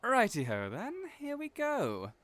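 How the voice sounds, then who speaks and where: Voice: medium pitch, huge pitch swings, measured pace, fairly bright tone. An actor in an audio roleplay playing a cheerful, chatty hairdresser who is theatrical, effusive and playfully teasing. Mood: cheerful, soothing.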